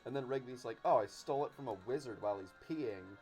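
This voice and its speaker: A young man hosting a casual podcast chat, speaking informally and haltingly, often repeating his words. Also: medium pitch, darkish tone, faint music behind, poor audio. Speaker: host.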